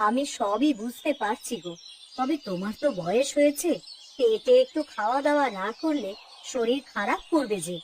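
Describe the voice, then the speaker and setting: A woman acting a worried, gentle cartoon mother. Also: on the high side, expressive, moderate pace, natural voice. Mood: worried, sorrowful. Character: aging village mother-in-law, gentle, worried, self-sacrificing.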